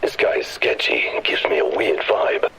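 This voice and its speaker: A man's voice: rumbling low, lively intonation, normal speed, noisy background. An actor playing a blunt, distrustful male companion in a video game, terse and disdainful. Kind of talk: video game character acting.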